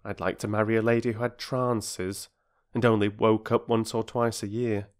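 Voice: mid-range voice, little pitch movement, normal speed, voice pushed up, natural voice. A narrator voicing a blunt, cocky young boy in a children's storybook. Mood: grumbling.

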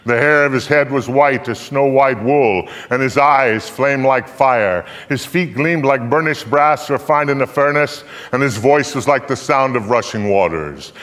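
An older man recites scripture as live drama, his voice mid-pitched and ringing with long hall reverb. He is solemn and declamatory, stretching phrases out for emphasis. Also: measured pace, few pauses, fairly bright tone. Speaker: dramatic reader on stage. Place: theater stage, indoors.

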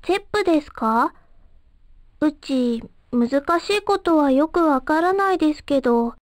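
A woman's voice: high voice, lively intonation, measured pace, no echo, studio quality. A woman playing a polite, naive, cheerful young street-performer girl in a video game, with a guileless, girlish manner. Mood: polite, friendly.

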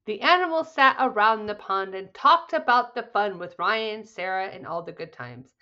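A woman in her forties reading a children's storybook aloud in an expressive, deliberate way. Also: medium pitch, dull audio. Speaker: storybook reader. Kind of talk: children's read-aloud, scripted narration.

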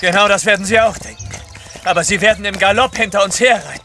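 An actor playing a proud, vengeful army officer in an audio drama, brisk and confident. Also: male voice, high-pitched, fairly even intonation, music in the background. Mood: commanding.

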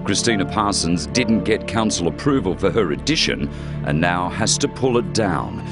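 A man with an Australian accent narrates a television news report. His voice is low and his delivery is scripted, with loud music running underneath. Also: lively intonation, normal speed.

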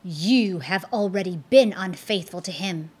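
A woman reading aloud, voicing a menacing male fiend who declares himself prince of destruction, loud and threatening. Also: fairly low pitch, a little slow, slightly rough, pitched below the performer's own voice. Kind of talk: storybook read-aloud.

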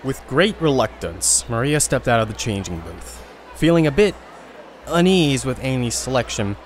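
A man's voice: mid-range voice, slight background noise. A man narrating an audio drama in a casual storytelling manner.

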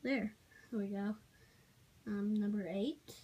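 A child giving a casual, halting show-and-tell narration while filming on a handheld phone in a room at home. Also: mid-range voice, fairly even intonation, mellow. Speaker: amateur toy video maker. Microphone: handheld phone behind the camera. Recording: noisy handheld home recording with clicks.

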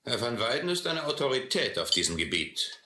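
An actor playing a domineering, mocking sea captain in an audio adventure drama, sardonic and condescending. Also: male voice, mid-range voice, very animated, brisk, bright, forceful tone. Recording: roomy old radio-play recording, faint noise.

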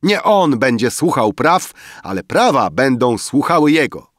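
Audiobook banker, played by a man: exuberant, boastful, declamatory, raised pitch, very close dry sound. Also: big pitch swings, a little slow, dry recording. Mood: exuberant, pompous. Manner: loud, declamatory, pompous. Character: exuberant, boastful male banker proposing a grand toast. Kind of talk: dramatized audiobook dialogue.

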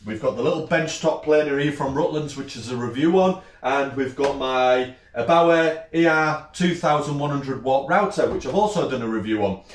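A man's voice: medium pitch, normal speed, few pauses. Chatty British man touring his workshop. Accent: British English. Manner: relaxed, chatty, lists tools in short phrases. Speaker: woodworking channel host. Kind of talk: casual workshop tour. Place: small indoor woodworking workshop.

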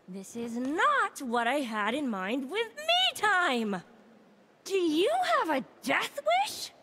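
An actress playing a bubbly, sassy young beach-loving fire goddess in a video game, with perky, exaggerated delivery. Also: female voice, sits high, noisy background, exaggerated voice. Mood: annoyed, sassy. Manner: perky, exaggerated, playful valley-girl sass. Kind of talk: video game character voice lines.

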